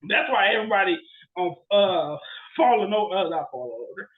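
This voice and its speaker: A man with a notably high voice chats casually as a podcast co-host. He rants and tells stories in slangy, exclamatory bursts. The recording is a bit dull and lo-fi. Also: very animated, a little fast. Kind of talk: casual chat, storytelling and ranting.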